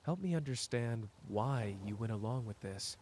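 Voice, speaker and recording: A man playing a powerful, cocky but wounded sorcerer in an intimate audio roleplay, talking casually and teasingly straight to the listener with pauses. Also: medium pitch, lively intonation, a little mellow, slight background noise, close to an everyday voice. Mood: hurt, confronting.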